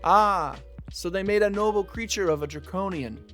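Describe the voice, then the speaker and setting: A man's voice: high-pitched, normal speed, over music, close to an everyday voice. A young man acting as a stubborn game master in a naturalistic audio drama. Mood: theatrical, playful.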